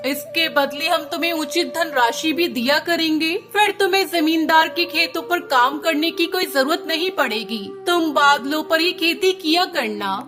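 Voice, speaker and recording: A woman's voice: high voice, normal speed, hardly any pauses, over music, lightly acted. A woman voicing a kind, polite fairy in a children's cartoon, speaking persuasively. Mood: kindly persuasive. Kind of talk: Hindi children's cartoon story acting.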